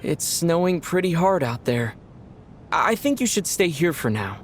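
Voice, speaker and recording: A calm young traveler. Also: male voice, a little high, unhurried, soft background music. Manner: calm, quiet inner musing. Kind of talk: game cutscene voice acting.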